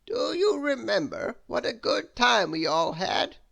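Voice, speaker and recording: A woman voicing a mysterious wizard in a storybook, slow and solemn. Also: a little high, very animated, gravelly, fairly bright tone, pitched above the performer's own voice, full character voice.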